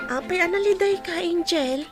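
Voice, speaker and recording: A woman's voice: very high voice, moderate pace, over loud music. A woman acting a loyal, protective household nanny in an Ilocano radio drama. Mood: concerned indignation.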